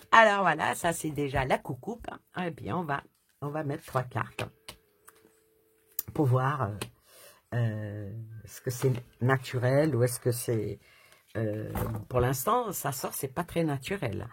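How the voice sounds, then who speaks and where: A woman's voice: rumbling low, lively intonation, takes it slowly, long pauses, husky. A woman chatting casually through a tarot reading, rambling and laughing as she goes. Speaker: tarot reader.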